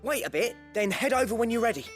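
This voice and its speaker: High-pitched, lively British lad. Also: male voice, quick pace, over music. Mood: eager. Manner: informal, eager, chummy. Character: friendly, cheeky working-class young male sidekick. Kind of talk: video game voice acting.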